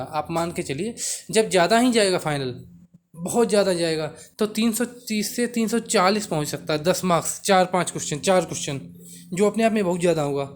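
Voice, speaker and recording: A man's voice: high voice, a bit bright, slight background noise. A young man giving an informal explainer to viewers, mixing in English words and repeating his assurances. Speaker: exam coaching YouTuber.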